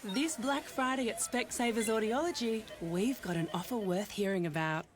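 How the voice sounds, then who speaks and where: A woman's voice: on the high side, normal speed. A woman reading a scripted radio advertisement.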